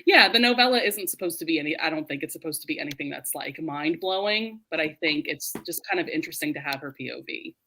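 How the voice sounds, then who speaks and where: A woman's voice: low voice, animated, fast, few pauses, background noise. A woman hosting a book discussion in a casual, giggly, informal way. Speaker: host of a book readalong live show.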